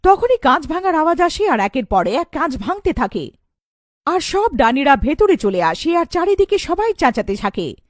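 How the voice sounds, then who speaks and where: A woman's voice: high-pitched, sing-song, normal speed, dry recording, studio quality. A woman narrating a scripted cartoon story as its storyteller.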